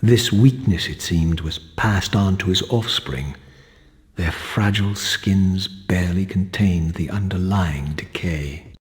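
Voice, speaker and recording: A proud vampire wraith speaks in a low voice, measured and bitter. The delivery is formal, eloquent and theatrical, like a gothic video game, with long reverb. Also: male voice, slight background noise. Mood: brooding. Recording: long reverb, music behind.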